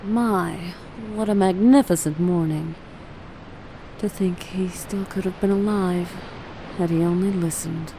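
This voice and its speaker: A woman playing a calm, cold villainess in a radio drama, musing dreamily as she faces arrest. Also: fairly low pitch, measured pace. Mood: serene. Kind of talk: fan radio drama acting. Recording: noisy amateur recording.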